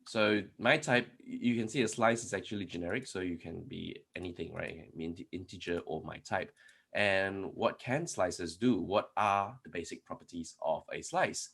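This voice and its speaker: A man's voice: low voice, moderate pace, vocal fry, fairly bright tone, husky. A man giving a conference talk on programming, explaining step by step with many restarts and rhetorical questions while typing code. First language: not Polish. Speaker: conference speaker. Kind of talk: live-coding tech talk.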